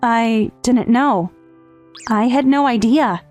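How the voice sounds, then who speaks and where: A woman's voice: a little high, slow pace, a little mellow. A woman playing a withdrawn, grieving teenage step-sister, narrating in an earnest, hesitant, confessional way in a manga dub. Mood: surprised. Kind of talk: dramatic first-person narration in manga dub. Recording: background music under the voice.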